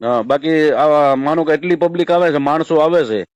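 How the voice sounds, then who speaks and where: A man's voice: fairly high pitch, moderate pace, darkish tone, clean tone, dry recording, highs cut off. A man with a Saurashtra Gujarati accent pressing someone with probing questions in a confrontational call. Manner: probing, persistent. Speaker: caller challenging a shrine keeper.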